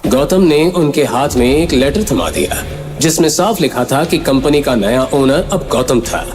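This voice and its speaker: Male, dramatic audio-series narrator, mid-pitched voice, long reverb, music clearly behind. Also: lively intonation, unhurried, darkish tone. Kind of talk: dramatic scripted story narration. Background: clear background music.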